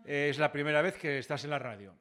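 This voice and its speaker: A man hosting a casual radio interview, chatty and joking as he fires quick follow-up questions. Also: a little high, fairly bright tone. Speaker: radio host.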